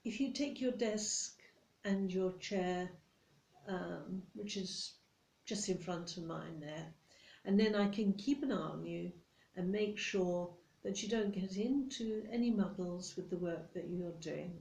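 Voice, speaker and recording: A controlling, nitpicking British office boss acted by a woman in a soft-spoken ASMR roleplay. She scolds politely and with condescension, taking her time in a mellow voice. The room adds a noticeable echo. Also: fairly low pitch, a little slow, gravelly, a little hiss or hum. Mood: condescending, controlling.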